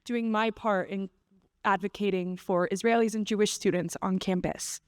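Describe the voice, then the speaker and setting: A young woman who grew up in Japan, introducing herself in a composed, measured way as a student panelist. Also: mid-range voice, fairly even intonation, clear, resonant tone. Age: young adult. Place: conference stage.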